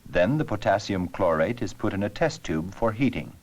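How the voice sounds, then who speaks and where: A man narrating an educational science film with scripted, measured, explanatory delivery. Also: lowish voice, lively intonation.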